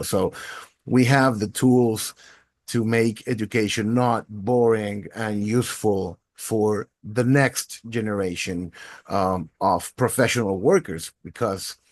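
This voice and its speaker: A man's voice: lowish voice, a little slow, dry recording. A man in his forties from Venezuela, speaking English, telling his story as a podcast guest in a thoughtful, fluent way. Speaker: podcast guest, education technologist. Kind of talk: interview answers.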